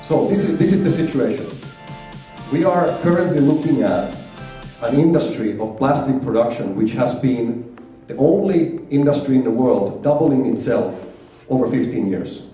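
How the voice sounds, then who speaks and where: A man's voice: mid-range voice, animated, moderate pace, warm tone, faint music behind. A man from Finland giving an emphatic conference presentation, repeating key figures for effect. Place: large conference hall. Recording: echoey hall, heard from far off.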